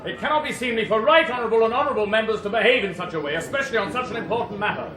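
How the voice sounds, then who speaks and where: An actor playing a stern of the Commons, calling out in drawn-out commands in a radio drama. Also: male voice, sits high, natural voice. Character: stern, shouting Speaker of the House of Commons. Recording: echoing chamber with loud crowd.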